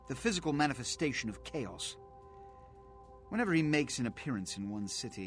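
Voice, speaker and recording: A man's voice: medium pitch, natural voice. Man as a pompous, haughty vampire prince. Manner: pompous, clipped, eloquent. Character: haughty, aristocratic male vampire prince, two centuries old. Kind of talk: video game character dialogue.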